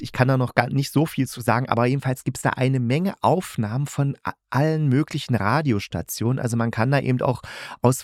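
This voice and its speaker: A man's voice: lowish voice, no echo, clean studio sound. A man hosting a casual review monologue, talking enthusiastically and in a rambling way as he searches for words. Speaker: podcast host.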